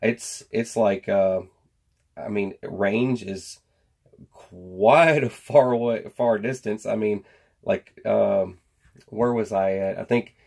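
A man's voice: lowish voice, slow pace, creaky, a little mellow. An American man giving a casual, rambling product chat to camera in folksy slang. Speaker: survival gear vlogger. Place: indoor workshop at a workbench.

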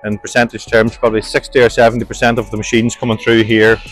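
A man's voice: on the low side, flat delivery, quick pace, soft background music, poor audio. A middle-aged man, explaining a large industrial workshop step by step as he walks through it. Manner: plain, practical, explaining step by step. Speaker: workshop manager giving a tour. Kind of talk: walking tour explanation.

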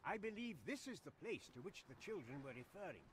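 An actor playing an earnest, bookish older scholar in a fantasy game, formal and emphatic, with archaic phrasing. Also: male voice, high-pitched, sing-song, brisk, a little hiss or hum, low-quality recording. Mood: urgent.

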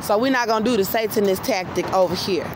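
A woman's voice: medium pitch, quick pace. An American woman in her thirties talking insistently and emphatically into a handheld phone as she walks, often repeating her phrases. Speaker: vlogger. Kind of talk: livestream-style monologue to camera while walking. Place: outdoors on a city street at night, walking. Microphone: handheld phone at arm's length. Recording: noisy phone recording on city street. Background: traffic and street noise.

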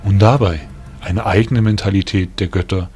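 A serene male faun spirit speaks in a lowish, measured voice, gently lecturing, as a man puts him on. He sounds a little distant from the mic. Clear music runs behind him. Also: fairly even intonation, lightly acted.

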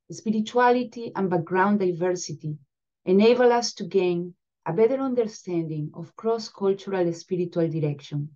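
Venezuelan woman speaking, measured and mellow. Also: mid-range voice, lo-fi sound. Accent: Venezuelan Spanish. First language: Spanish. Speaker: spiritual direction supervisor. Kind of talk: prepared introduction to a program. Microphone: video call webcam.

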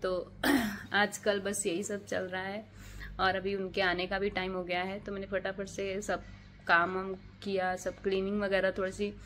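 A woman in her thirties vlogging to her phone at home, hoarse from a cold and talking in a tired, chatty way with many fillers. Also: mid-range voice, little pitch movement, unhurried, fairly bright tone, a little hiss or hum. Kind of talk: casual vlog talk to camera. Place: home bedroom, indoors. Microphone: phone held at arm's length.

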